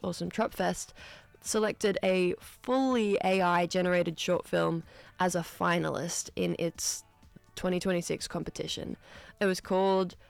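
A woman's voice: lowish voice, gravelly, no room sound. A young Australian woman in her twenties from Newcastle, hosting a radio show in a studio, chatting casually and upbeat between tracks with frequent ums and asides. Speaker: radio host. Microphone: studio microphone.

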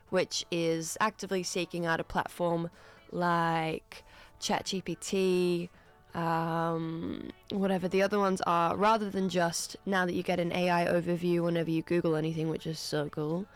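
A woman's voice: fairly low pitch, clear, resonant tone. A young Australian woman in her twenties from Newcastle, hosting a radio show in a studio, chatting casually and upbeat between tracks with frequent ums and asides. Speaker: radio host. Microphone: studio microphone.

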